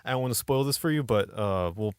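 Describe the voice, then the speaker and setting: A man's voice: fairly low pitch, expressive, fast talker, clear, resonant tone, no echo, clean studio sound. A man co-hosting a podcast in casual, teasing chat with his partner. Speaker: podcast co-host.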